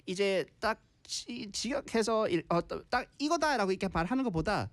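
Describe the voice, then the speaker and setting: A woman hosting a casual live-stream language lesson, explaining points and reacting quickly to her co-host. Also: mid-range voice, lively intonation, no echo.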